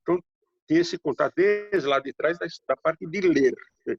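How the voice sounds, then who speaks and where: Older writer, native hungarian, slight hungarian-tinged accent, husky gravelly voice, muffled dull sound. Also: male voice, fairly high pitch, no room sound. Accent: slight Hungarian-tinged Brazilian Portuguese, heavy R. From Brazil, raised in São Paulo. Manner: unhurried, rambling, frequent tag questions. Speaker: guest writer. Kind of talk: casual interview storytelling.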